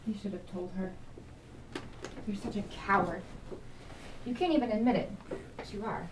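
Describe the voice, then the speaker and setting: An actress playing a wife who fears for her husband, swinging from whispered accusations to shouted anger. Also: medium pitch, normal speed, echoey room, background noise, natural voice. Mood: accusing. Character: worried wife confronting obsessed astronaut husband.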